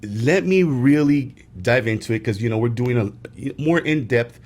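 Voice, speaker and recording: A man co-hosting a casual sports podcast, rambling through opinions with many fillers and restarts, growing emphatic when excited. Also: medium pitch, measured pace. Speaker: podcast co-host.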